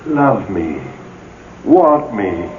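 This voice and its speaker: An actor playing an ardent older gentleman ghost in a radio drama, insistently coaxing and wooing his love. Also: on the low side, sing-song, drawn-out words, heavily acted. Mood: pleading. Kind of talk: old-time radio drama acting. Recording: phone-like old radio broadcast, faint noise.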